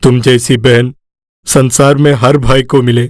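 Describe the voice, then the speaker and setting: A man voicing a poor, loving father, speaking solemnly in an animated moral story. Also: mid-range voice, unhurried, no room sound, studio quality, lightly acted. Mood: tender, grateful.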